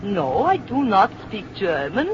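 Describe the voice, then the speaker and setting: A plucky American schoolteacher, sardonic and theatrical, in a radio play. Her voice sits at a middling pitch for a woman. The recording is old, muffled and lightly noisy. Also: big pitch swings, measured pace. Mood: amused, scolding. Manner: theatrical, wry, talking to herself. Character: sardonic, plucky American schoolteacher driving alone, shaken but defiant. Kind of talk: old-time radio drama acting.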